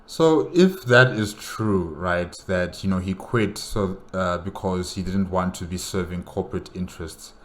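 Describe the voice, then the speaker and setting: Deep-voiced man musing in casual commentary. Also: a little slow, hardly any pauses, fairly bright tone, slight background noise. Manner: musing, repeats phrases, often says you know. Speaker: reaction video host. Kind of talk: casual solo reaction commentary.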